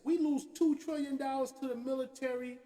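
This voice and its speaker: A man hosting a podcast, ranting with animated, profane energy and checking in with his co-host as he goes. His voice sits high for a man. A long, hall-like reverb trails each phrase. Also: brisk. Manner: animated, profane, repeats "you know what I'm saying". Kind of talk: opinionated casual rant.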